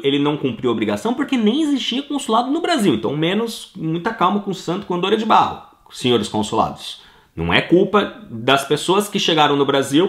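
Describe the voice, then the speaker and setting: Brazilian man, middle-aged, animated and emphatic, slightly high voice, roomy echo. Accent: Brazilian Portuguese. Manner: emphatic, acts out listeners' questions in quotes. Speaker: citizenship consultant answering listener questions. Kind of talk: casual explanatory talk.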